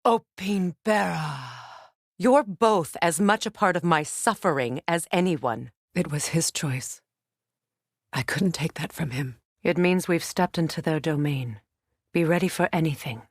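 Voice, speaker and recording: A woman's voice: sits low, unhurried, no room sound, clean studio sound. A woman acting a proud, grieving warrior goddess and sorceress in a video game, with a grave, intense, commanding manner. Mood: weary, sorrowful.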